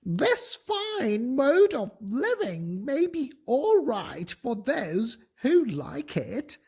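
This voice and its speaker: Squeaky, slow country mouse. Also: wildly swooping pitch, darkish tone, husky, exaggerated voice. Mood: shaken, resolute. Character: humble, plain country mouse, timid but firm.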